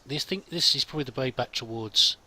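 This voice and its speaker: A man's voice: mid-range voice, fast talker, pushed and bright. A man hosting a let's play with casual role-played commentary, chatty and musing to himself.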